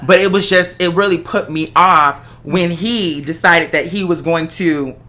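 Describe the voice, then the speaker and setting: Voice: medium pitch, measured pace, bright, forceful tone. A talk show host speaking casually and with strong opinions, repeating phrases for emphasis.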